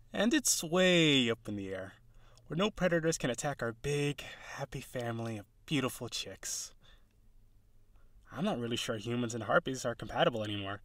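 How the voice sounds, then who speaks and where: A man's voice: mid-range voice, very animated, moderate pace, long pauses, no echo, close to an everyday voice. A man voicing an eager, awkward, insecure young male harpy in an audio roleplay, talking to the listener in an earnest, rambling, nervous way. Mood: proud, eager.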